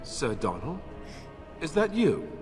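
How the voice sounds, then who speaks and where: An actor playing a wry, courteous young warrior companion in a fantasy video game. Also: male voice, a little high, huge pitch swings, takes it slowly, full character voice. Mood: pleased, wry. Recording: reverberant hall with music behind.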